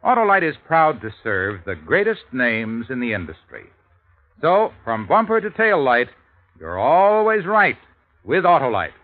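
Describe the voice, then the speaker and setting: A man's voice: medium pitch, wildly swooping pitch, unhurried. A man with an American accent reading a polished 1950s radio commercial as the sponsor's announcer. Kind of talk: 1950s radio advertising pitch. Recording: tinny vintage radio recording.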